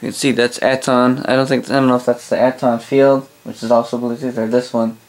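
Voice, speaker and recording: Canadian guy doing a casual gadget review, monotone, rambles and restarts a lot. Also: medium pitch. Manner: halting, self-correcting, rambling. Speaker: product reviewer. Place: small indoor room at a desk.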